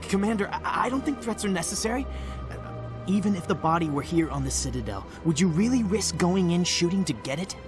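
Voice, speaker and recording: An actor playing a polite, cautious government official in a video game, talking in formal officialese. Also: male voice, sits high, animated, a little fast. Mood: uneasy, yielding. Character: polite, cautious male diplomatic bureaucrat. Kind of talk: video game character acting. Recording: background music under the dialogue.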